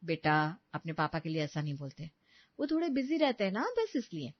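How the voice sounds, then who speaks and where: A woman's voice: sits low, big pitch swings, normal speed, dry recording, muffled recording, pitched below the performer's own voice. A woman voicing a patient, burdened young housewife and mother in a story. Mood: gentle, weary. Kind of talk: dramatised dialogue in an audio story.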